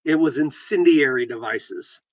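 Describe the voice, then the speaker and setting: A man co-hosting a casual real estate talk show, reading headlines aloud and joking, with halting restarts. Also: dry recording. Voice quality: deep voice. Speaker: podcast host and realtor.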